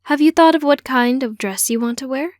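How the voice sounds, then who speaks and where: A woman voicing a caring, gentle mother of a grown child in an audiobook story. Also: high voice, a little fast, no room sound, clean studio sound, pitched above the performer's own voice, natural voice. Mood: eager.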